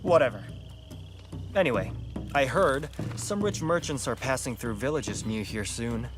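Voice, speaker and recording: Man playing casual rogue, medium pitch, offhand delivery, game cutscene, very prominent music. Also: lively intonation, unhurried, close to an everyday voice. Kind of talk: video game cutscene acting.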